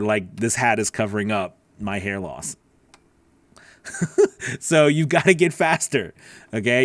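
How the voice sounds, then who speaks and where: A man's voice: medium pitch, no echo. A man hosting a casual livestream Q&A as a voice-over coach, rambling with many fillers and restarts.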